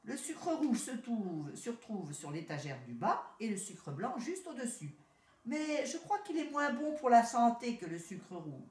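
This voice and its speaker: A woman's voice: fairly high pitch, big pitch swings, a little slow. A woman voicing a haughty, boastful male city rat in a storybook tale, talking down to his cousin. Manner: proud, boastful, condescending. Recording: roomy echo.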